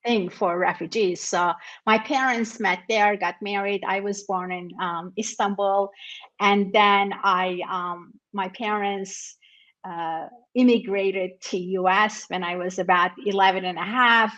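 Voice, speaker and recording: Middle-aged woman guest from Turkey, mid-range voice, reflective and unhurried. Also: mid-range voice, fairly even intonation, muffled recording. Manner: thoughtful, frequent fillers, warm laughs. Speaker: author and guest. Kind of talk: conversational interview.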